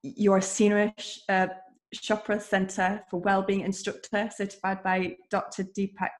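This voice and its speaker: A woman's voice: mid-range voice, completely flat delivery, moderate pace. A woman hosting an interview, introducing her guest's credentials in a friendly, admiring way. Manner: friendly, admiring, laughs easily. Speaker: interview host.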